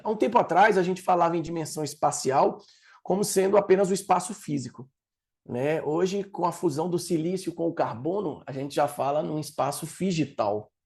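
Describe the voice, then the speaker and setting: Gravelly, dark-voiced Bahian man presenting. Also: a little high. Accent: Bahian Brazilian Portuguese. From Salvador, Bahia, Brazil. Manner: professorial, explanatory, with filler "é" and "né". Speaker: project leader presenting at an event. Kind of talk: conference presentation.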